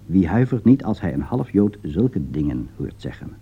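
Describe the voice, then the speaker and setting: A man's voice: low voice, very animated, normal speed, breathy edge. Formal man, very varied intonation, dull recording. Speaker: political commentator. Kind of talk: scripted broadcast commentary read aloud.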